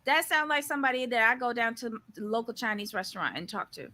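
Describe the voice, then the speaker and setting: A woman's voice: a little high, lively intonation, fast, bright, forceful tone. A woman with a Barbadian accent, co-hosting a casual recap show and giving blunt, opinionated takes. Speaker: podcast co-host.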